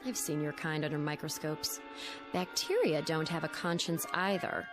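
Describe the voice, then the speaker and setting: An actress plays a cool, educated woman in a radio drama. Her voice is fairly low and her speech articulate, dry and resigned, with a cutting edge. Music runs faintly underneath. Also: normal speed, natural voice. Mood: scornful. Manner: articulate, dryly disdainful.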